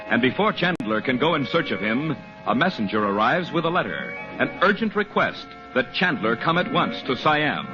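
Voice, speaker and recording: Man, American accent, 1940s radio announcer, formal showman delivery, lively intonation, dull vintage recording. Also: mid-range voice. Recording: muffled old radio broadcast, music behind.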